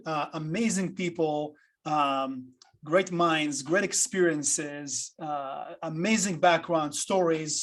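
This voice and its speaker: Man, somewhat high pitch, online panel host, informal, halting delivery, unscripted. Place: online video call.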